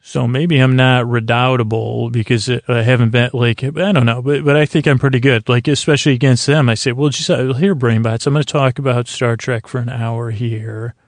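Creaky-voiced man rambling softly toward bedtime. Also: medium pitch, steady tone, dry recording. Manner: meandering, halting, repeats and over-describes. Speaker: podcast host. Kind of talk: rambling bedtime monologue to help listeners sleep.

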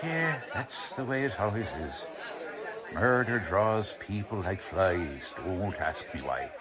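An actor playing a gruff, bossy American police lieutenant in a radio crime drama, blunt and slangy, hemming and hawing. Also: male voice, fairly low pitch, animated, takes it slowly, crowd noise. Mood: wry. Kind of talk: old-time radio crime drama acting.

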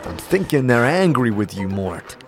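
A man's voice: medium pitch, sing-song, normal speed, music in the background. A man playing an apologetic, dry-witted wanderer in a fantasy audio drama, speaking hesitantly and with understatement. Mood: wry.

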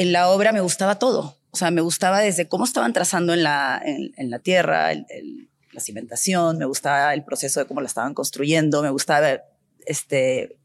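A woman from Peru, an architect and designer, telling stories as an interview guest. She speaks animatedly and acts out remembered bits of dialogue. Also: low-pitched. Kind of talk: conversational storytelling.

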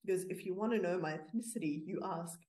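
A woman's voice: lowish voice, fast talker, dark tone. A woman co-hosting a casual podcast, recounting things she has seen in a chatty way, with plenty of "like" and "you know". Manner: chatty storytelling with fillers. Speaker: podcast co-host. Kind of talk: casual discussion.

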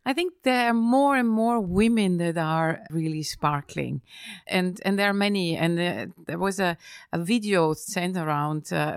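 Middle-aged woman from Austria, low and gravelly, hesitant interview guest with many fillers, dry close sound. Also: dry recording. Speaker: interview guest, business executive. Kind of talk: casual interview conversation.

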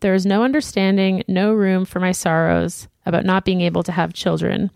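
Woman, podcast co-host, steady reading voice, dry close recording. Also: lowish voice, moderate pace, creaky, no room sound. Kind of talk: reading a listener email aloud.

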